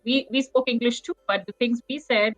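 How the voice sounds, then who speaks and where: A woman's voice: on the high side, no room sound, soft background music, low-quality recording. A woman hosting an author panel, chatting animatedly and laughing readily as she asks questions. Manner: animated, quick to laugh and chime in. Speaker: panel host. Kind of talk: lively casual panel talk.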